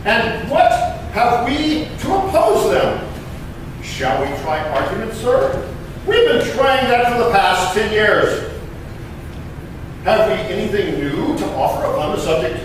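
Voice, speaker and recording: A man playing an impassioned eighteenth-century American patriot statesman, declaiming a speech with emphatic, deliberate pauses in a dramatic reading. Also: high-pitched, sing-song. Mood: indignant, challenging. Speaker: living historian performer. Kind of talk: dramatic historical oratory reading. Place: historic courthouse room. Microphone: distant microphone. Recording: very echoey room, distant mic, noisy.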